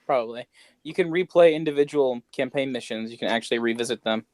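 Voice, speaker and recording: A man's voice: mid-range voice, fast, no echo. A man co-hosting a podcast, bantering casually with a playful, sweary, irreverent manner. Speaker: podcast co-host.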